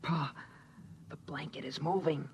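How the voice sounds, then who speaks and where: An actor playing a young boy who narrates and talks to his father, with a hushed, brooding delivery, in a 1950s radio drama. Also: a little high, fairly even intonation, measured pace, slight background noise. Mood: frightened. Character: young boy, family's son, fearful and imaginative. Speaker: narrator and son. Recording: dull old radio broadcast.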